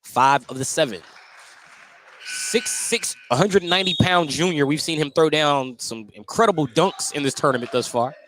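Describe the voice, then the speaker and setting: Higher-pitched man calling basketball play-by-play, noisy gym. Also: normal speed, fairly bright tone. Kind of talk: live radio sports commentary. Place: indoor gymnasium. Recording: noisy live gym broadcast. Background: gym noise, bouncing basketballs.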